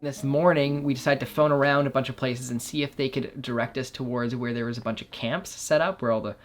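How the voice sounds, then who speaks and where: A man's voice: medium pitch, steady tone, fairly quick, faint music behind. A young man with an earnest, curious manner, hosting and narrating a documentary and interviewing people.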